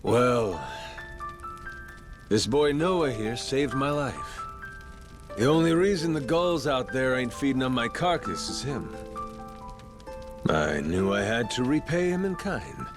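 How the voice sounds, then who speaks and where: A man's voice: medium pitch, expressive, slow, pauses often, over music. A man playing a brash, playful Yakuza in a video game, with a cocky, profane, slangy drawl. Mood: earnest, insistent. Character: brash, playful, rough-talking Yakuza man who lost his memory. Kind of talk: video game cutscene acting.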